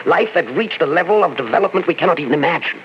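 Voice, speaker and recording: An actor playing a grave professor who lays out a theory in a measured, speculative way, in 1940s radio acting style. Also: male voice, on the high side, expressive, a little hiss or hum, lightly acted. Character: grave middle-aged male professor, theorizing calmly. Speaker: Professor Martell. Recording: dull old radio recording.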